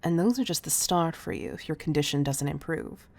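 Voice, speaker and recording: A woman voicing a stern yet doting tough-love CEO in an audio roleplay, speaking in a measured, condescending way with pet names. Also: low voice, animated, creaky, full character voice. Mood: ominous, teasing. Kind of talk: scripted audio roleplay addressed to the listener.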